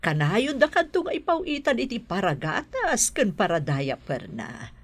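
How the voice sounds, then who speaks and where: A woman playing a doting, excitable mother and grandmother in an Ilocano radio drama, with husky, exclamatory delivery. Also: mid-range voice, a little slow. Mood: fond. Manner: expressive, exclamatory.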